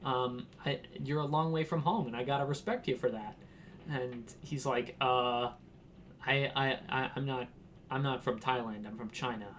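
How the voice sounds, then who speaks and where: Young dude from washington rambling thru an awkward story, laughs a lot, does the voices. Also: male voice, medium pitch, steady tone, moderate pace, a bit bright, slight background noise. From Washington, United States. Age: young adult. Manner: rambling, laughing, acts out quotes in raised voice. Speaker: vlogger. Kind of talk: casual storytelling.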